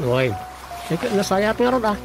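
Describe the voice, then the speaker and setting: A man's voice: high-pitched, very animated, unhurried, over music, heavily acted. A man acting a village councillor and chairman's aide in an Ilocano radio drama comedy, conversational and grumbling, with an Ilocano accent. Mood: attentive, agreeable.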